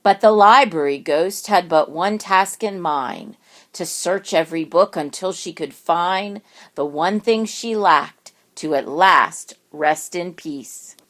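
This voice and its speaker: A woman's voice: fairly low pitch, fairly bright tone. A woman reading a rhyming children's picture book aloud, expressively and with dramatic emphasis on words. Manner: deliberate, dramatic word emphasis.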